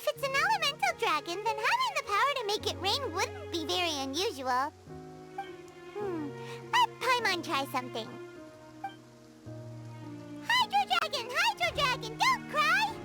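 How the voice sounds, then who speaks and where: A woman voicing a small, cheerful, childlike fairy companion in an anime game, with a squeaky, bubbly delivery. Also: wildly swooping pitch, unhurried, pauses often, full character voice. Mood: eager. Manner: bubbly, exclamatory. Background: background music.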